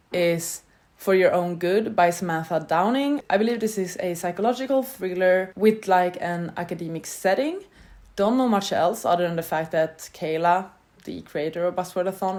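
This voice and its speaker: Woman, book vlogger, lower voice, casual, rambling, unstructured chat. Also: a little mellow. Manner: chatty and rambling, loosely structured.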